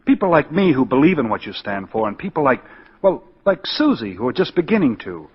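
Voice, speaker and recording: An actor playing an earnest, steady, caring man in a 1940s radio drama, speaking persuasively. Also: medium pitch, a little fast, slightly rough. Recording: dull, faintly noisy 1940s radio recording.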